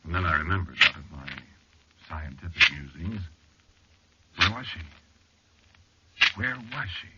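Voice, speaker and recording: An actor playing an anxious, irritable male explorer in a 1940s radio horror drama, telling his story haltingly with deliberate, dramatic pauses. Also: very deep voice. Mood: tense recollection. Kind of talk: first-person 1940s radio horror narration and acting. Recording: noisy, muffled, clicky vintage radio recording.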